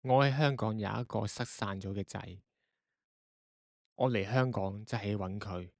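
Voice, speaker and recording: Radio drama husband male actor: low, earnest voice, evasive and remorseful, recorded close and dry. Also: measured pace, darkish tone, dry recording. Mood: confessing. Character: guilty, evasive husband confessing his secrets. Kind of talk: Cantonese radio drama acting.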